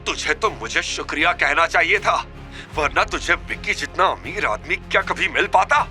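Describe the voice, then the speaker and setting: A scheming older woman of an audio serial, acted by a male storyteller, in a raised pitch. She scolds self-righteously and sharply, with music behind her. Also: normal speed, sharp tone. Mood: scolding. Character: scheming, sharp-tongued older woman, greedy family elder.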